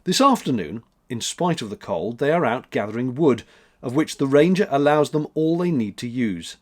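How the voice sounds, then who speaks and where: Male storyteller, mid-pitched, expressive. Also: moderate pace. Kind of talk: expressive scripted story narration.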